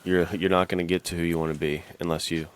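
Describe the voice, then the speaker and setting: A man's voice: low voice, level tone, fast talker, clear, resonant tone. A man co-hosting a podcast, talking casually and informally with plenty of slang. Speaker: podcast co-host.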